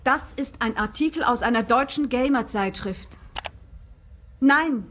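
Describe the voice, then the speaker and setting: A woman's voice: on the high side, a little slow, a bit bright, slight background noise. A woman playing a brash, suspicious young internet café worker in a radio play, snappy and cocksure. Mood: absorbed. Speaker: supporting actor.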